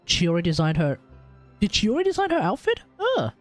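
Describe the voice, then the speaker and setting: Animated man reacting on a game livestream. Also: high-pitched, fast, faint music behind. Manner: expressive, muttering reactions with frequent swearing. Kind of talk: casual live playthrough commentary.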